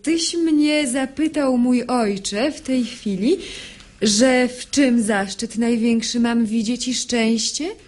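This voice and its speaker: A meek young daughter in a classic radio play. She speaks her verse slowly and deferentially in a fairly high, timid voice. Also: a little mellow. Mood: bewildered. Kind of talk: theatrical radio drama in rhymed verse.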